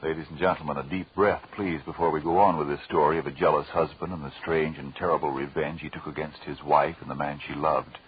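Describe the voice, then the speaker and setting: A man announcing on 1940s radio, delivering story links and sales pitches with dramatic emphasis. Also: steady tone, quick pace, few pauses, slight background noise. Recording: dull, lo-fi old radio recording.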